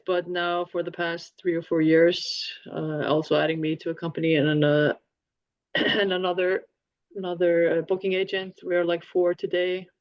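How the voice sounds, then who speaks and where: A woman's voice: on the low side, dry recording. A woman introducing her music company on a panel, chatty with fillers and restarts, talking over a video call. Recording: dry, dull lo-fi call audio.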